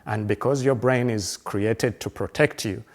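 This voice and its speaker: A man in his fifties from Uganda, speaking in a halting, earnest way, with many fillers and restarted phrases. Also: on the low side. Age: 50s. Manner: earnest and reflective. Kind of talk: reflective personal conversation.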